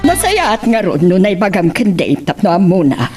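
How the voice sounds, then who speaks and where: A woman's voice: medium pitch, big pitch swings, moderate pace, darkish tone, distant mic, faint music behind, natural voice. A woman playing a cautious, protective middle-aged mother in an Ilocano romance drama, with an Ilocano accent. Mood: cautioning.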